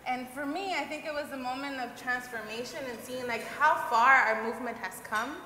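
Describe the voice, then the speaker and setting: A woman's voice: high-pitched, normal speed, sharp tone, echoey room, in a large hall. A woman panelist telling a personal story in a casual way, with many fillers. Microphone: lapel mic.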